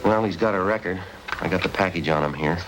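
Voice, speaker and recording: A man's voice: low-pitched, quick pace, noisy background. An actor playing a terse male homicide detective who narrates the case in an old radio drama. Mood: questioning. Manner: flat, clipped, matter-of-fact. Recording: old radio recording with music.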